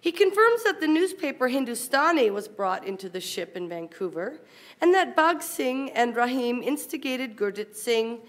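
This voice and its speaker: Woman, slightly high voice, academic conference speaker, formal read-aloud delivery, measured, careful. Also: very animated. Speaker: conference speaker reading a paper. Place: university conference talk.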